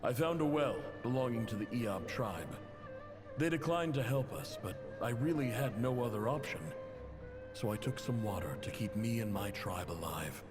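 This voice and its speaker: Man acting a proud desert chief, echoing, expressive. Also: mid-range voice, natural voice. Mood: grave, pleading. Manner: formal, measured, courteous. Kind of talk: dramatic video game letter narration. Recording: long reverb, music behind.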